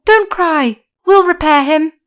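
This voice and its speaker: A woman's voice: very high-pitched, slow pace, voice pushed up. A woman voicing the eager, friendly little Winkie folk in a storybook reading.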